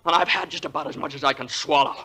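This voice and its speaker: An actor playing an earnest, frustrated, quick-tempered small-town American man in a 1940s radio play, hesitant and excitable. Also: on the high side, slightly rough. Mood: desperate, angry. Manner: hesitant, repeating words, excitable. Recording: old radio play recording.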